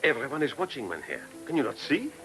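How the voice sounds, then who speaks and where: An actor playing a deferential, flustered Viennese policeman in a radio play, speaking haltingly with a German-speaking Central European accent. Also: mid-range voice, huge pitch swings, fairly bright tone, faint music behind. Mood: puzzled, agitated. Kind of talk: radio drama acting.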